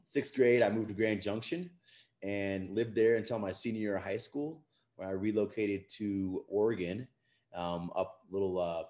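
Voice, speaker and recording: Deep-voiced man, laughing easily. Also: darkish tone. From western USA. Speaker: guest, business co-owner. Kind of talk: casual chat.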